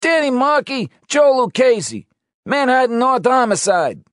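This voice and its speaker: A man's voice: very high voice, sing-song, a little slow, no room sound, studio quality, pitched above the performer's own voice, full character voice. A man voicing a panicked, swearing New police detective trapped under rubble. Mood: urgent shouting. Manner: frantic, swearing.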